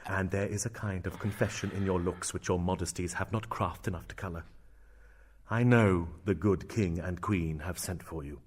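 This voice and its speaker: A man performs a brooding young prince of Shakespearean drama in a lowish voice. He is sardonic and theatrical, measured in his lines, and slips into hushed asides while playing at madness. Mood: wry, probing. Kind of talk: Shakespearean stage acting in verse and prose.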